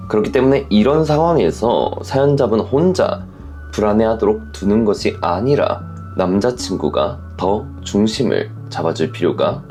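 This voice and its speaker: Low-voiced man with a slightly creaky edge. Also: a little mellow. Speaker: host of a relationship story channel. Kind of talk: scripted advice commentary to camera or listeners.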